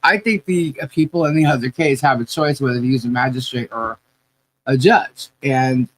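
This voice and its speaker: A woman's voice: rumbling low, moderate pace, poor audio. A woman hosting a casual livestream podcast, sounding nasal today and rambling with restarts and laughs.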